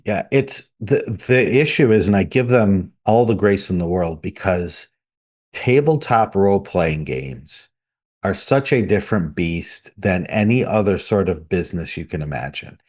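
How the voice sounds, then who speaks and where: Man, low pitch, measured reflective podcast talk. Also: expressive, darkish tone. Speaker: podcast co-host. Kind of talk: casual discussion.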